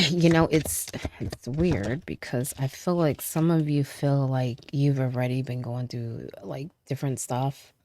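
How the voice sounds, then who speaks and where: A woman giving a casual tarot reading, chatty, with frequent fillers, trailing pauses and light laughs, and sounding stuffy from a cold. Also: extremely low pitch, steady tone, a little mellow. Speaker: tarot reader.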